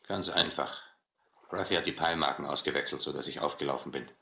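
An actor playing a brutal, proud, cynical sea captain who taunts and philosophizes in a German radio adventure play. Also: male voice, very deep voice, steady tone, vocal fry, pushed and bright, echoey room, full character voice. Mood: cynical, matter-of-fact. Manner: mocking, slow deliberate taunting.

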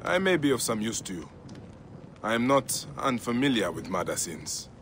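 An actor playing a stern, principled male desert warrior and protector in a video game, speaking in a formal, measured way. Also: medium pitch, very animated, fairly bright tone, slight background noise. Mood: polite, firm. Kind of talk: dramatic game cutscene dialogue.

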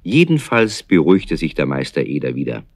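An actor playing a kindly storyteller, narrating a scripted children's radio play. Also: male voice, extremely low pitch, wildly swooping pitch, a little fast, no echo, clean studio sound, heavily acted. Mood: amused. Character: male storyteller, lively and kindly.

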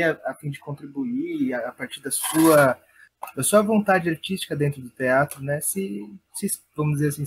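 A man's voice: a little high, slight background noise. A man with a São Paulo Brazilian accent, speaking reflectively and a little hesitantly as an interviewed theatre artist. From Perus, São Paulo, Brazil. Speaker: interviewed playwright and theatre director.

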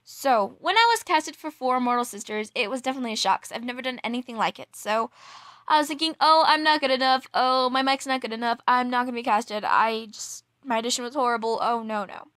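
Very high, bright-voiced young woman, gushing. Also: a little fast, dry recording. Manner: gushing, excitable, giggly. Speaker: amateur voice actress introducing a role. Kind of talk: casual promotional chat to viewers.

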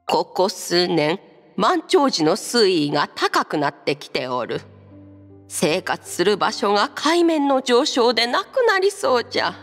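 A husky older village woman for a game, grave and worried, with an expressive range. The voice rings in long reverb over music. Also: mid-range voice, a little slow. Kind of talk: Japanese game voice acting.

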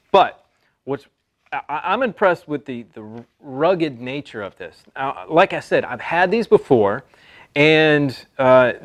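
An American man in his thirties giving a casual product review to camera in a halting, conversational way. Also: mid-range voice. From Texas, United States. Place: open metal-roofed stable, seated at a pickup tailgate.

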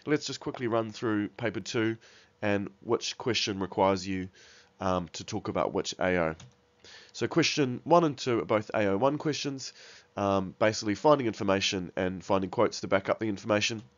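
A man with a slight cold gives a revision lesson in a lowish, somewhat nasal voice. He talks through slides with plenty of ums and uhs. The recording sounds a bit dull and lo-fi. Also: normal speed. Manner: many fillers like um and uh, restarts. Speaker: teacher. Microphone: voice-over on slides.

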